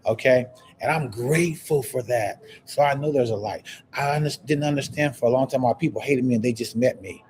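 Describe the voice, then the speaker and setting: A man's voice: mid-range voice, fairly quick, a little mellow. Male livestream host with a lisp and stutter, mid-range voice, upbeat motivational chat, light room noise behind. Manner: repetitive, exclamatory, reading viewer comments aloud. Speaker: host of a live online talk show. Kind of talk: casual motivational livestream talk.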